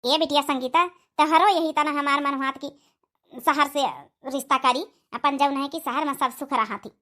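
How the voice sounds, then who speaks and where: A protective middle-aged village auntie in a cartoon, acted by a woman. She has a high, bright voice and advises in a motherly way. Her accent is Awadhi. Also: steady tone, normal speed. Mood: caring. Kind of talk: comedy cartoon voice acting.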